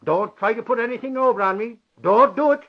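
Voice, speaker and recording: A man's voice: very high-pitched, brisk. An actor playing a blustering, suspicious, folksy man with an insistent manner in an old-time radio drama. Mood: warning, suspicious. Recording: muffled vintage radio recording.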